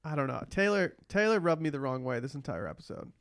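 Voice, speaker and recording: A man's voice: a little high, sing-song, quick pace, no echo. A man hosting a casual podcast chat about a reality TV show. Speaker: podcast host.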